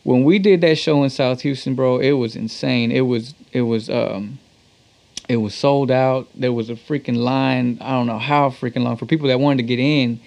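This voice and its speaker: A man's voice: mid-range voice, fairly even intonation, normal speed, vocal fry, a little mellow. Male musician from Texas, interview guest. Casual storyteller, loose slang and swearing. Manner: slangy, profane, conversational. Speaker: musician guest, talk box player.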